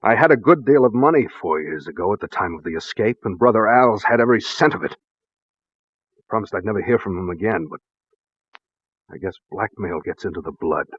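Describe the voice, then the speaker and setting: A man playing a grave, haunted husband in a 1940s radio drama, with a measured, formal, slightly husky delivery. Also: lowish voice, expressive. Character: grave, secretive husband haunted by his mad brother. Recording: dull, dry vintage radio recording.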